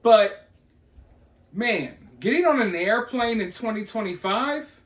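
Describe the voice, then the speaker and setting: Male news vlogger, high register, casual opinionated commentary, recorded with noticeable room echo. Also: expressive, slow pace. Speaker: host of a news commentary vlog. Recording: roomy, echoey voice recording.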